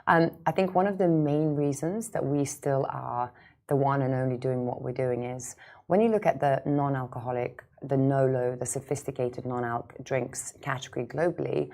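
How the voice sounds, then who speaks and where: A woman's voice: a bass voice, normal speed, mellow. A woman in her thirties from Lithuania, a founder speaking conversationally as an interview guest, with emphatic repetition. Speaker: startup founder, podcast guest.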